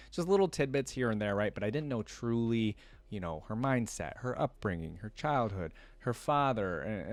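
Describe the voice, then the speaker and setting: A man's voice: mid-range voice, no echo. A man hosting a reaction video, chatting casually and unscripted to viewers in a rambling, conversational way. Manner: rambling, conversational, often seeks agreement.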